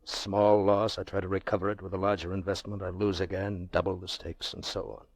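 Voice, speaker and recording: An actor playing a wealthy, anxious, ruthless gambler in old radio drama dialogue, speaking with grave emphasis. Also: male voice, low-pitched, little pitch movement, fairly quick, no room sound. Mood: troubled, agitated. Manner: grave, emphatic theatrical delivery.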